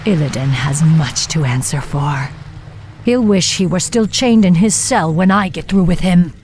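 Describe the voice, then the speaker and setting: A woman's voice: lowish voice, unhurried, darkish tone, a little hiss or hum, lightly acted. A woman voicing a stern, vengeful night elf warden who commands with grave resolve in a video game cinematic. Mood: grim resolve.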